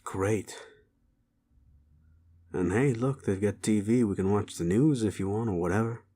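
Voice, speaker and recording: A man playing a streetwise, sleazy male werewolf with a wry, teasing and gently protective manner in an intimate audio roleplay. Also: on the low side, measured pace.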